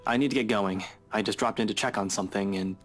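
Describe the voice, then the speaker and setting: An actor playing a nonchalant, dry-witted investigator in a visual novel, speaking in an offhand, understated way. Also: male voice, fairly low pitch, fast, a little hiss or hum, close to an everyday voice. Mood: dry, teasing.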